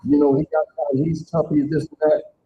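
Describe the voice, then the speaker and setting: A man's voice: little pitch movement, normal speed. Middle-aged man, plain-spoken and emphatic, giving testimony to a church-hall crowd. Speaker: speaker at community event. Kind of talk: personal testimony to a live audience. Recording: tinny phone-like sound, faint rumble.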